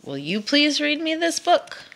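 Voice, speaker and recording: Woman voicing an eager, animated young storybook dragon. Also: high voice, a little slow, vocal fry, slight background noise, voice pushed up, exaggerated voice. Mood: friendly, pleading. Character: eager, book-loving young male dragon, polite and pleading. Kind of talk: children's picture-book read-aloud.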